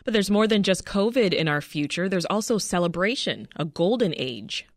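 A woman's voice: on the low side, lively intonation, normal speed. A woman from Toronto hosting a radio interview. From Toronto, Canada. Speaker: radio show host. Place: dry radio studio. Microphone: close studio microphone.

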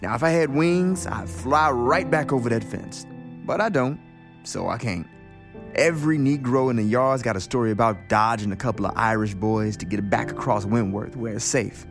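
A man playing a streetwise factory worker telling his story colloquially in a radio drama, with a gravelly, wry voice. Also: medium pitch, moderate pace, natural voice. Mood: wary. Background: music.

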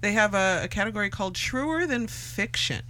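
A woman's voice: medium pitch, animated, normal speed, bright, forceful tone. A woman hosting a radio show, reading out award categories and commenting in between, with a faint hum behind her. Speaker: radio host.

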